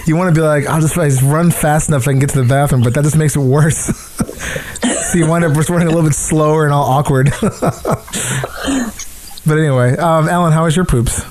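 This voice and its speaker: A man's voice: a little high, steady tone, a little fast, a little mellow. Joking man co-host, faint hiss. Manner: joking, rambling asides. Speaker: podcast co-host. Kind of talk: casual podcast chat.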